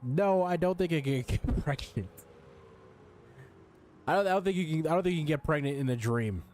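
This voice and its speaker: A man's voice: on the high side, animated, normal speed, a little mellow. Slangy, joking male game streamer. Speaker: gaming streamer. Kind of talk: casual playthrough commentary. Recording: faint background noise with game ambience.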